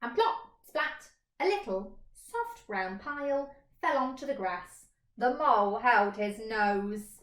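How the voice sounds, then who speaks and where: High-pitched, animated woman reading a storybook. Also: slow, echoey room. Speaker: teacher reading a story to pupils. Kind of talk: expressive children's storybook narration.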